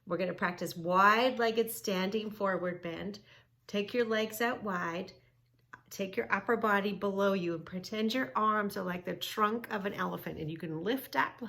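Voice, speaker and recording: A woman hosting a children's yoga read-along, teaching in an emphatic, sing-song way with drawn-out key words and questions. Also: mid-range voice, gravelly, fairly bright tone. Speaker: children's yoga story-time host. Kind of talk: read-along lesson for young children, narrating and instructing.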